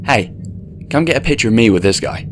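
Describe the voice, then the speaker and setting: Man playing cocky, hot-headed Ethan, pitched up. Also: lively intonation, a little fast, noisy background, natural voice. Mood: taunting. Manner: brash, jabbing.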